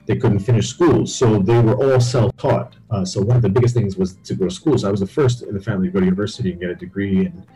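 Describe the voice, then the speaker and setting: Deep, mellow man from Barbados. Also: quick pace, few pauses, faint music behind, rough recording. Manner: reflective, storytelling. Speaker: podcast guest. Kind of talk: interview conversation.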